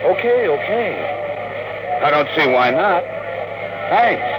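Washed-up space pilot, gruff n wary, old radio show, lots of noise. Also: male voice, fairly high pitch, sing-song, slow pace. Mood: defensive. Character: hard-drinking, down-on-his-luck rocket captain, wary and gruff. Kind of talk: old-time radio drama acting. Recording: noisy old radio recording.

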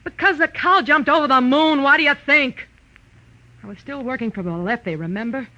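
A woman's voice: high-pitched, moderate pace, bright, forceful tone, dry recording. A woman playing a sarcastic, tough gangster's girlfriend with snappy, slangy delivery in 1940s radio noir style. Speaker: radio drama actress. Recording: muffled old radio broadcast.

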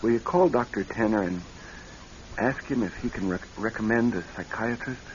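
An actor playing a haunted, edgy husband in a radio drama, troubled and strained, swinging from subdued to snappish. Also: male voice, on the low side, moderate pace, close to an everyday voice. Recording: muffled, hissy old radio recording.

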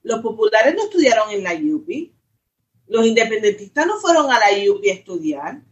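Quick, insistent woman. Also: on the high side, sing-song. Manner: quick, insistent, talks over others. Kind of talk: casual panel chat. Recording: video call.

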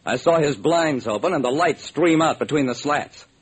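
A man's voice: fairly high pitch, animated, moderate pace, lightly acted. A man acting as a hard-boiled sleuth narrating his case in an old-time radio crime drama, clipped and wry. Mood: pressing, triumphant. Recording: noisy vintage radio recording.

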